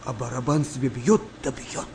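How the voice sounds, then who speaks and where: A man playing a skeptical, well-spoken wartime navy sailor telling tales with measured drama in a Russian radio play. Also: medium pitch, fairly even intonation, noisy background, natural voice. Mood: suspenseful storytelling. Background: music behind the voice.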